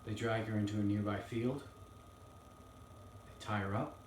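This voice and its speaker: A man playing an earnest young defense lawyer in a dramatic courtroom monologue, solemn and halting with weighty pauses. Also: on the low side, fairly even intonation, lightly acted. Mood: grave, hushed. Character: earnest young male Southern defense lawyer pleading to jury. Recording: roomy, distant mic, faint noise.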